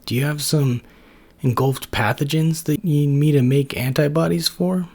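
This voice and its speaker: A man voicing a sleepy, gentle male B cell who speaks slowly. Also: fairly high pitch, pitched above the performer's own voice. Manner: sleepy, slow.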